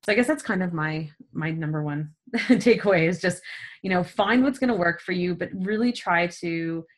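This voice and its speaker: A woman co-hosting a casual podcast about stretching and wellness, animated, laughing often and filling her talk with "like" and "um". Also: lowish voice, moderate pace. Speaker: podcast co-host.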